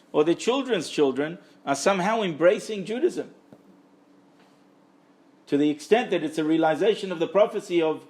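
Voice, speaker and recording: A man giving a Torah lecture as a religious teacher, speaking emphatically and repeating phrases for stress. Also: fairly high pitch. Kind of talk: Torah lecture to a live group.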